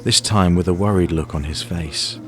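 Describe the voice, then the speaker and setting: A man's voice: low-pitched, moderate pace, soft background music. A man reading scripted story narration in the third person for an audio drama.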